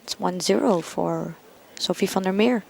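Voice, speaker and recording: A woman's voice: lowish voice, moderate pace, gravelly, a little mellow. A woman doing live sports commentary at a bowling event, chatting casually in a giggly, enthusiastic, rambling way. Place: bowling championship venue. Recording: noisy hall, crowd behind. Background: bowling crowd.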